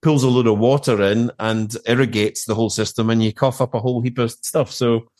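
A man's voice: mid-range voice, fairly even intonation, a little fast, a little mellow, no room sound, studio quality. A middle-aged British man chatting casually as an interview guest, telling stories with emphatic repetition and colloquial asides. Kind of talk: casual conversation, anecdotal.

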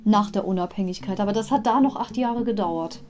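A woman's voice: medium pitch, fairly quick, a little mellow, soft background music. A woman hosting a fan podcast, retelling a children's audio story in a chatty, digressive way with personal asides. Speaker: podcast host.

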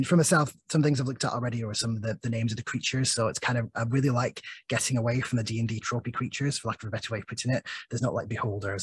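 A man from Scotland hosts a casual podcast chat from his home, talking fast in a chatty, self-deprecating way. His voice is husky and rather low. The sound is dry and close, with no room echo. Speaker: podcast host, game master.

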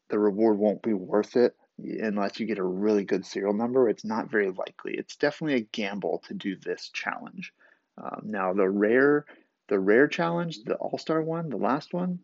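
Raspy, gravelly podcast host, low voice. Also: male voice, darkish tone, no room sound, muffled recording. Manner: rambling, with fillers and restarts. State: groggy after a vaccine. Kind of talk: casual solo podcast talk.

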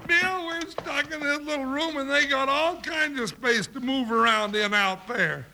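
Folksy american cowboy sidekick, super high voice, grumbly, loud hiss on old radio tape. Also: male voice, measured pace, gravelly, full character voice. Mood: alarmed, grumbling. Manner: folksy, exclamatory drawl. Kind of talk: 1950s radio western acting. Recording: very noisy old radio recording.

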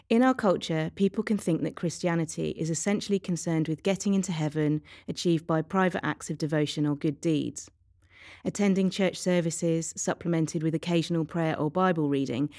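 A woman reading a scripted devotional in a measured, reflective way. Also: sits low, darkish tone, dry recording, clean studio sound. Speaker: devotional reader. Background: faint music.

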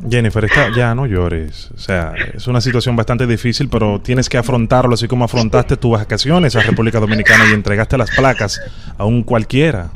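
A man playing a stern, unhurried official in a prank phone call, speaking formally and deliberately with feigned sympathy. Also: medium pitch, noisy background, natural voice. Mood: grave, patronizing. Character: stern, unhurried official announcing an impending arrest. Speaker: prank caller, host of a phone prank show. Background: music.

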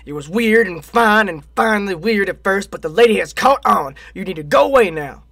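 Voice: mid-range voice, expressive, fairly quick, a bit bright, no echo, studio quality. A performer playing a hapless, defensive country man in a comedic radio play, folksy and exasperated. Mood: annoyed.

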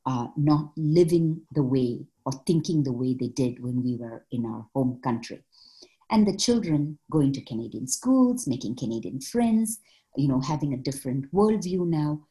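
A woman from Malaysia, a social worker and mother, answering interview questions in a reflective, measured way with frequent fillers. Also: low voice, mellow.

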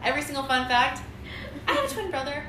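A young woman chatting casually on a student podcast, relaxed and trailing off with lots of fillers. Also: sits high, fast, distant mic. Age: young adult. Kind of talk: casual chat. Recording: echoey room, background noise.

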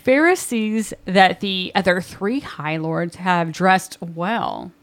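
A woman recaps book chapters on a podcast, chatty and quick to giggle. Her voice sits on the low side, deeper than most women's. She tends to trail off mid-thought before picking the story back up. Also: very animated. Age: 30s. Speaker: podcast co-host. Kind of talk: casual book-club chat, recapping chapters.

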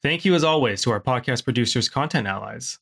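A man reading a scripted podcast intro and sponsor thanks in a smooth promotional way. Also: medium pitch, expressive, a little fast, fairly bright tone. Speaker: podcast announcer.

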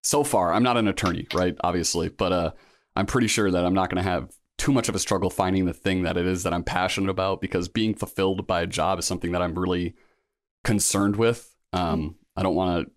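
A man's voice: low-pitched, steady tone, fairly quick, no echo, studio quality. A man in his thirties, a guest in a casual interview, talking in a reflective, rambling way with many fillers and restarts. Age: 30s. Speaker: guest, law school applicant.

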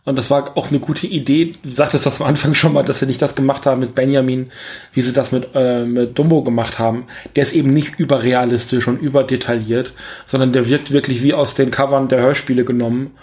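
A man's voice: medium pitch, fairly even intonation, fairly quick, a little mellow. A man co-hosting a podcast, chatting casually about films and thinking aloud as he rambles. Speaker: podcast co-host. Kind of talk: casual film review chat.

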